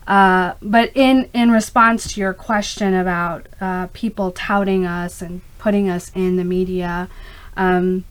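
A woman's voice: lowish voice, steady tone, measured pace, smooth, rough recording. A middle-aged woman from Pakistan, raised in the United States, a native Urdu speaker, answering interview questions in an earnest, discursive, conversational way. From born in Karachi, Pakistan, raised in the United States from age five. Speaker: interview guest, startup founder.

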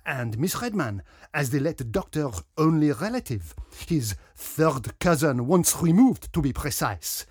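A man voicing a pompous, dapper little detective with a French accent, theatrical and deliberate, in a mystery story. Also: on the high side, very animated, darkish tone, slightly rough, dry recording, clean studio sound, pitched above the performer's own voice, full character voice. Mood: accusing, deliberate. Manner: pompous, self-regarding, deliberate.

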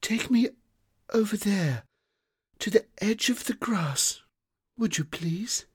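Man voicing a fading fairy lady, soft, faint, high. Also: animated, slow, breathy edge, heavily acted. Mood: weak. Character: weak, fading female sand fairy guardian.

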